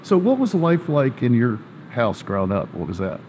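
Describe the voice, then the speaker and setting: A man hosting a casual interview, chatty and joking, asking quick follow-up questions. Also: medium pitch, sing-song, darkish tone, slight background noise. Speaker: host.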